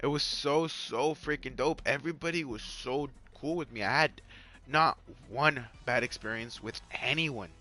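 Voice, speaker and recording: A man's voice: mid-range voice, sharp tone. A young man with a Mexican accent, chatting casually to viewers during a live game stream, rambling and repeating himself, full of "like" and "you guys.". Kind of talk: casual live-stream chat while gaming. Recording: faint music underneath.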